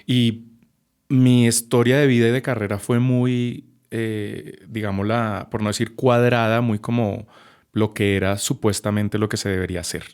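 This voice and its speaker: A man, sharing his personal story as a podcast guest in a reflective, measured way with frequent hesitations. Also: lowish voice, level tone, darkish tone, clean tone. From Colombia. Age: 30s. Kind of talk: personal testimony in a podcast conversation.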